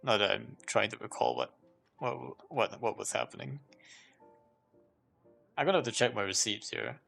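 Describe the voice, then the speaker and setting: A man's voice: medium pitch, moderate pace, gravelly, a bit bright, faint music behind. A man chatting casually on a livestream, thinking aloud in a hesitant, rambling way and often repeating himself. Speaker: live streamer.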